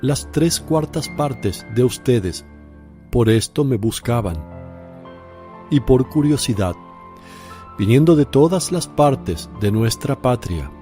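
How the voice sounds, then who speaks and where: Radio play: Jesus, gentle grave preacher, mellow low voice, unhurried, faint music under, played by a man. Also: expressive. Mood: preaching. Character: Jesus, calm gentle male teacher and preacher. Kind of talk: radio drama acting.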